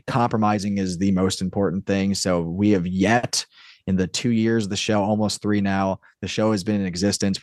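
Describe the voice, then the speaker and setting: A man's voice: sits low, a little mellow, no room sound, studio quality. A man in a casual interview, explaining things as a creator in a rambling way that often interrupts itself. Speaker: podcast guest, audio drama creator and writer.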